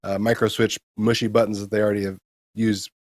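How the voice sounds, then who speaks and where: A man's voice: fairly low pitch, level tone, brisk, no echo, studio quality. A man co-hosting a retro gaming show, giving blunt, emphatic opinions in casual talk with some crude slang.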